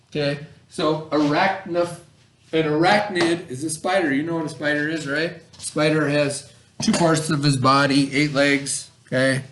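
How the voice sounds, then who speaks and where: A male schoolteacher with a slightly high voice gives an informal read-aloud lesson. He explains in a conversational way, as if to a child, and often checks that the listener is following. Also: fairly bright tone.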